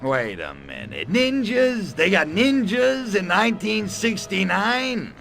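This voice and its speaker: A man playing a cocky, lecherous little alien invader in a video game, with a gravelly voice and brash, slangy wisecracks. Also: high-pitched, big pitch swings, slow pace, fairly bright tone, a little hiss or hum, full character voice. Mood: impatient. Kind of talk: video game cutscene voice acting.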